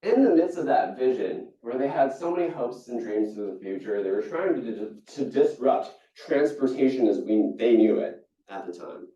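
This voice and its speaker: A man's voice: normal speed, hardly any pauses. Man telling stories in an echoey hall. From Singapore. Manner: storytelling, animated with jumps in pitch and frequent restarts. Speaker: keynote speaker. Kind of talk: motivational keynote talk. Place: conference hall. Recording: echoey hall, distant and dull.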